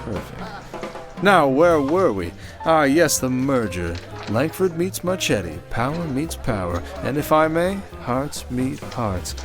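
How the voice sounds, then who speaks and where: Slick male conman, played by an actor, medium pitch, suave, boastful, flirtatious gangster talk, audio roleplay with music underneath. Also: big pitch swings, slow pace. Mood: sly, conspiratorial. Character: smooth, showy male conman posing as high-rolling heir. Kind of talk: scripted audio roleplay acting.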